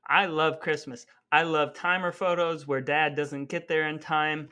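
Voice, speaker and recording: Male podcast co-host, high-ish and very bright voice, playful mock-earnest patter, faint music underneath. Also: flat delivery, unhurried. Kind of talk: chatty scripted podcast narration.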